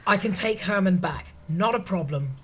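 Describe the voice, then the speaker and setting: A woman's voice: fairly low pitch, lively intonation, moderate pace, slightly rough. A woman playing an earnest, gormless and slightly defensive junior office assistant in a scripted audio comedy. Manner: earnest, hesitant, mildly indignant.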